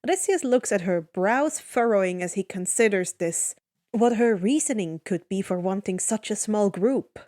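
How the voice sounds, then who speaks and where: A woman's voice: medium pitch, expressive, moderate pace, darkish tone. A woman reading fan fiction aloud as a dramatic story narration.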